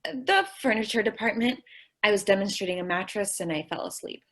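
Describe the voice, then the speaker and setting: A woman acts a sweet, scatterbrained young woman from old-time radio comedy. Her voice sits at a middle pitch with a slightly rough, husky edge. She sounds earnest and completely literal-minded. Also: lively intonation, brisk, a bit bright. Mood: plaintive, determined.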